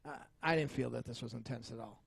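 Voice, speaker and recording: A man's voice: mid-range voice, quick pace, creaky, husky, no room sound. A man co-hosting a podcast, arguing about film in an emphatic, combative way with plenty of swearing. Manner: argumentative, emphatic, profane. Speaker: podcast co-host. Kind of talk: heated film review discussion.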